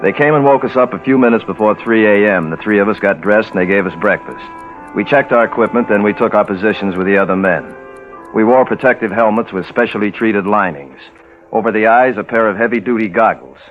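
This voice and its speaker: An actor playing a haunted American serviceman, narrating tersely and gravely in a 1950s radio drama. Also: fairly low pitch, brisk, faint music behind, close to an everyday voice. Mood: grave, foreboding. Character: somber serviceman remembering a bomb test, haunted. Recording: tinny narrow-band old radio recording.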